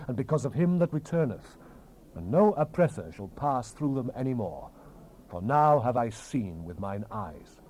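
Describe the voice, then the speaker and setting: A man's voice: medium pitch, warm tone, low-quality recording. A man giving a solemn, declamatory and theatrical dramatized reading of King James scripture on a hissy old cassette recording. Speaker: scripture narrator. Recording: hissy 1970s cassette rip.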